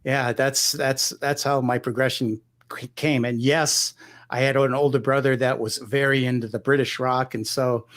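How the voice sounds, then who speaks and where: A middle-aged man from Michigan talks about his music as an interview guest. His voice sits at an ordinary middle pitch, and he rambles along with lots of restarts and "you know.". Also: level tone, normal speed, fairly bright tone. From Michigan, United States. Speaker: guest guitarist. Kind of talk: relaxed conversational interview.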